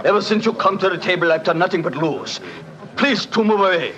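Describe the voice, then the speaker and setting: An actor playing an agitated, bitter German gambler with a German accent, in 1940s radio style. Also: male voice, high-pitched, a little fast, lightly acted. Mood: irritated. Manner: aggrieved, formal foreign phrasing. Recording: dull old radio recording, crowd behind.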